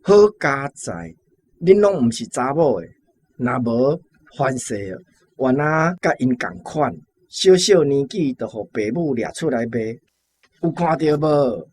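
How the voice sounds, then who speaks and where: An actor with a Taiwanese accent playing a gossipy, streetwise shop boss who explains things confidingly in a radio drama. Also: male voice, mid-range voice, big pitch swings, a little slow, a little mellow, a little hiss or hum. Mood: confiding, pitying.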